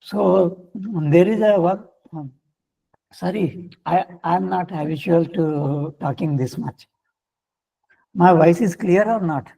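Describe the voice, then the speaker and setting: Hoarse, halting Indian man lecturing. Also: high voice, mellow, poor audio. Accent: Indian English. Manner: halting, many uh fillers and restarts. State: getting hoarse. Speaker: guest lecturer. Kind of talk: online faculty workshop lecture.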